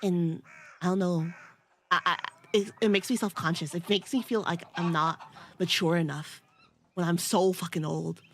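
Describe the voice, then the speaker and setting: A woman's voice: on the low side, fairly even intonation, moderate pace, background noise. A woman around thirty with a nasal voice, chatting casually and giggling as she streams a game. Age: about 30. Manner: giggly, rambling, self-teasing. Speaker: VTuber streamer. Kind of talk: casual live-stream chat while gaming.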